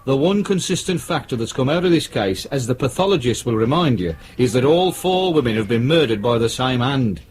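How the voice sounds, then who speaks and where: An actor playing a dogged, weary police detective in a radio drama, speaking in a blunt, sardonic, grumbling way. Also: male voice, medium pitch, lively intonation, brisk, few pauses, darkish tone, slight background noise, close to an everyday voice.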